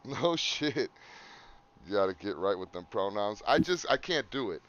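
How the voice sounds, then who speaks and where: Brief: loud male podcast co-host, lower pitch, rambling profane chat, muffled lo-fi audio. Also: sing-song, moderate pace. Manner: rambling, swears often, laughs. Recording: dull lo-fi sound.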